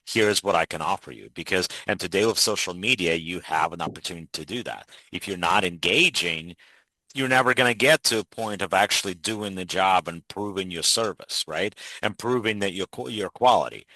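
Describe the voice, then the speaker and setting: A man's voice: low-pitched, fairly quick, bright, forceful tone, no room sound, low-quality recording. A man from Ukraine hosting a podcast, talking in an earnest, persuasive way with frequent restarts. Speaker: podcast host. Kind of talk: casual interview chat.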